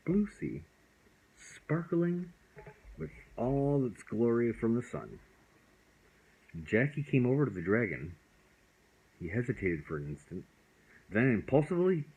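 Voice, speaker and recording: A father narrating a bedtime story in a gentle, loving, unhurried way. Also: mid-range voice, husky, low-quality recording.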